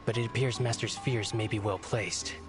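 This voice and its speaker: An actor playing an earnest, concerned investigator in a fantasy video game, speaking seriously and thoughtfully. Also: male voice, on the low side, steady tone, moderate pace, music in the background, lightly acted.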